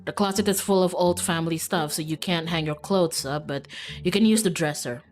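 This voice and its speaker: A woman's voice: lowish voice, a little fast, darkish tone, lightly acted. A woman voicing a stern, testy young female cousin with a curt, condescending, formal manner in a visual novel. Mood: curt, haughty. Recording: background music.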